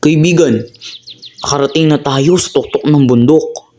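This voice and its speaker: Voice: medium pitch, a little slow, warm tone, close to an everyday voice. A courteous young nobleman in a radio play, speaking politely and full of awe, as the narrator voices him. Music plays steadily behind. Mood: awed. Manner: polite, awed.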